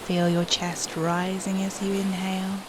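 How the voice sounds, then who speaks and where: A woman softly leading a guided meditation in a soothing, unhurried manner, with breathy phrase endings and long pauses. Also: mid-range voice, level tone, noisy background.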